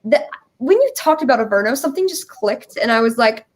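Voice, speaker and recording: A woman's voice: on the high side, expressive, brisk. A young woman telling a story casually as an interview guest, acting out little bits of dialogue. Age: young adult. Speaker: interview guest, musical writer.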